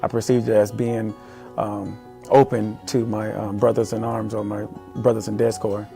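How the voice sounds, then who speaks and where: Earnest man with a flat, mellow voice. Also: on the low side, gravelly, soft background music. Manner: halting, many ums, earnest. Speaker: father telling his story. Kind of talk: personal testimonial.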